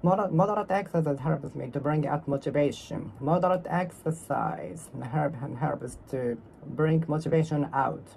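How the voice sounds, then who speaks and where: A speaker from Japan with a Japanese accent, practicing English alone, repeating and reworking each sentence in a careful, halting way. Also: mid-range voice, gravelly, a little mellow, slight background noise. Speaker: English learner. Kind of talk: solo speaking practice, talking to oneself.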